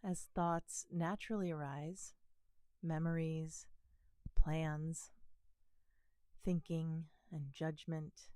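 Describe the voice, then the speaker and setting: Mellow, soothing woman guiding meditation. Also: sits low, no echo. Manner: gentle, calm, soothing delivery with pauses. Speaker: meditation teacher. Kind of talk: guided body scan meditation.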